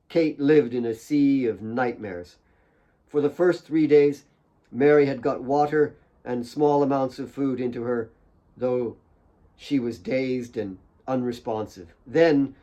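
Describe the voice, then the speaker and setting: A man's voice: mid-range voice, dark tone, lo-fi sound. A man reading his own novel aloud as a dramatic audiobook narration.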